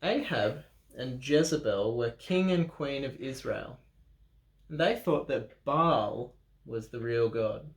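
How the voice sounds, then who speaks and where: A man's voice: medium pitch, animated, slow pace. An Australian man reading a children's picture book aloud at a church, with playful chatty asides. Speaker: children's story reader. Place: church room. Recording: roomy echo.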